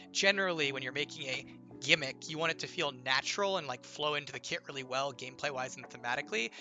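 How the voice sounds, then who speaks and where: Man with a strained, bright voice. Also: medium pitch. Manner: rambling explainer, heavy on "like" and "right?", playful teasing. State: slightly strained, losing voice from illness. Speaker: game designer streaming to viewers. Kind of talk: casual livestream Q&A.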